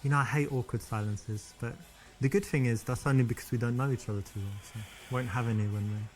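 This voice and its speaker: A man plays a confident British dater in a video game who chats easily and then turns to coach the player. His voice sits at an ordinary pitch, and the talk is relaxed and self-assured. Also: fast talker, soft background music, lightly acted, rough recording. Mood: chatty. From London, England.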